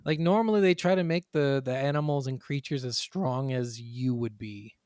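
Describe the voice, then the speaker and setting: A man's voice: mid-range voice, moderate pace, darkish tone, dry recording, studio quality. A man co-hosting a podcast, chatting casually about video games in a laid-back, joking, sweary way. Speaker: podcast co-host.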